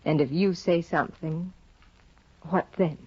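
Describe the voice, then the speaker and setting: A proud aristocratic young wife speaks in a cool, husky, mid-range voice. She is clipped and imperious. The recording has the dull, lo-fi sound of a 1950 radio play. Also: on the low side, measured pace, no room sound. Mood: guarded. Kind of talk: 1950s radio drama acting.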